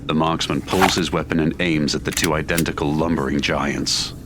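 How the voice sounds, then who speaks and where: A man's voice: very deep voice, fairly even intonation, normal speed, background noise. A man reading scripted, dramatic story narration for an audio drama. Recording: music playing behind the narration.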